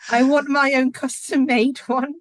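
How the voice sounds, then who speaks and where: A young woman hosting a casual group interview, chatty and enthusiastic, quick to laugh. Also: high-pitched, flat delivery, no echo, studio quality. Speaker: podcast host.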